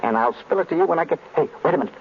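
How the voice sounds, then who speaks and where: A man's voice: fairly high pitch, lively intonation, rapid-fire. An actor playing a shady small-time informer with furtive, slangy tough-guy talk in an old radio crime drama. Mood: conspiratorial. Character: shady male small-time informer peddling a secret by telephone. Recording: dull, noisy old radio recording.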